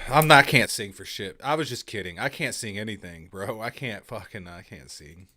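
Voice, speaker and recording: A man's voice: on the low side, normal speed, bright, forceful tone. A man co-hosting a casual live-stream chat, swearing freely, joking around and ranting heatedly. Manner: profane, joking, heated rants. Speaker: co-host.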